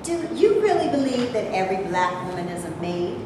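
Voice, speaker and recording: A woman playing a weary, sharp-tongued actress with a folksy, emphatic delivery in a staged play reading. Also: medium pitch, sing-song, fairly quick, natural voice. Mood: angry, scolding. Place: indoor room, live staged reading. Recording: echoey room, hissy amateur video.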